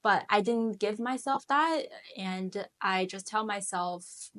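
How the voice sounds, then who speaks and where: A woman's voice: medium pitch, slow, fairly bright tone. A young woman in her twenties, from China with Chinese as her first language, talking earnestly as an interview guest, with many fillers and restarts. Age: 20s. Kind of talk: casual interview conversation.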